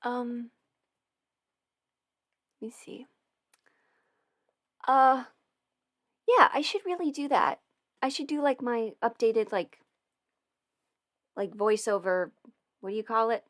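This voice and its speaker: A woman with a fairly high voice chats casually as a livestream host. She rambles in an informal way, thinking out loud as she talks. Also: takes it slowly, pauses often. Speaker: livestream host and voice performer answering viewer questions. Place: indoors at a desk at home.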